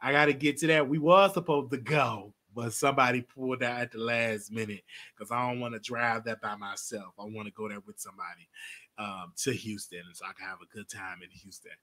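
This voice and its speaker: A man's voice: medium pitch, steady tone, fairly quick, sharp tone. A man in his late twenties hosting a live call-in show, chatting casually and laughing often. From Texas. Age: 20s. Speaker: host.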